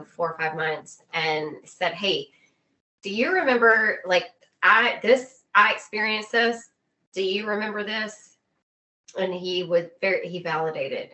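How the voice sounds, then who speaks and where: A woman interview guest casually telling a personal story in short, halting, emphatic phrases. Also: lowish voice, pushed and bright.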